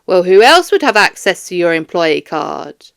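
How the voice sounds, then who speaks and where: A woman voicing a stern police detective in an audiobook, questioning a suspect in a firm, formal, measured way. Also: lowish voice, big pitch swings, gravelly, a bit bright, clear, resonant tone, dry recording, clean studio sound, pitched below the performer's own voice, full character voice. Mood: stern, pressing.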